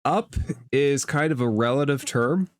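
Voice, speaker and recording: A man with a medium-pitched voice works as game master for a tabletop session. He narrates casually and explains things conversationally. The sound is very dry, with hardly any room in it. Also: a little slow, no room sound.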